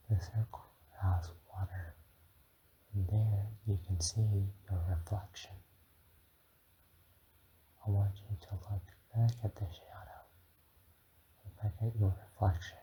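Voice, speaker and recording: A man voicing a gentle, patient dragon mentor in a slow, whispered and soft-spoken ASMR guided meditation. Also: fairly low pitch, steady tone, highs cut off, slight background noise. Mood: soothing, instructive. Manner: slow, soothing, drifting between whisper and murmur.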